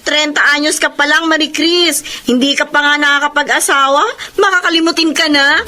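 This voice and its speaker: A woman's voice: sits high, fairly even intonation, a little fast, over music, lightly acted. A woman playing a cheeky, wheedling sister-in-law and mother with a Filipino accent in a Tagalog radio drama. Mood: teasing.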